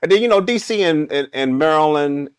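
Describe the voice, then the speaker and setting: A man's voice: fairly high pitch, animated, brisk, clear, resonant tone, no room sound, clean studio sound. A man in his fifties from Washington D.C. with a D.C. accent, telling his story as a guest in a casual podcast talk, emphatic and repeating phrases. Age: 50s.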